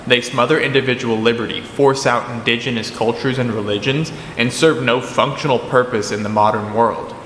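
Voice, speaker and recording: A man's voice: medium pitch, fairly even intonation, moderate pace, few pauses, a bit bright, roomy sound, big-room sound, a little hiss or hum, natural voice, low-quality recording. A man playing a combative young debater who is smitten with his rival in a radio drama. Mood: combative, formal.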